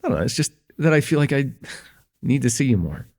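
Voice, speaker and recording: A man playing a shy, smitten young student in an intimate ASMR roleplay, with an earnest, hesitant delivery and a husky, slightly gravelly voice. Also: medium pitch, big pitch swings, fast talker. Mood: nervous, tender. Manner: hesitant, earnest, stammering, chuckling softly between phrases.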